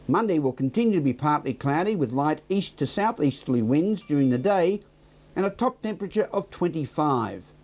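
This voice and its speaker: Higher-pitched Australian male news presenter. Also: darkish tone. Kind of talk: scripted local news and weather reading.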